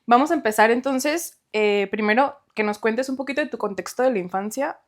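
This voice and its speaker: A woman hosting a podcast interview, excitable and quick with short encouraging replies. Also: a little high. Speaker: podcast host.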